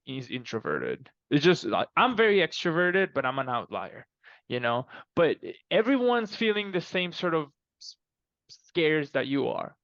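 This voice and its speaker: A man chatting casually as a podcast guest, explaining things in an animated, emphatic way and often repeating himself. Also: medium pitch, no echo, muffled recording. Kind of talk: casual conversational interview answers.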